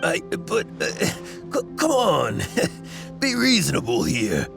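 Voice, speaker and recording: A man voicing a shifty treasure-thief foreman in a video game, rough and wheedling, with music behind him. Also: fairly high pitch, huge pitch swings, slow, heavily acted. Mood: pleading. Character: shifty, pleading male treasure-hoarder foreman. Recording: background music under the voice.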